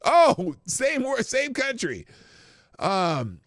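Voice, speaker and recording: A man hosting a live stream in casual solo commentary, sarcastic and animated, swearing and muttering to himself between points. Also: very high voice, extremely slow, dry recording, clean studio sound.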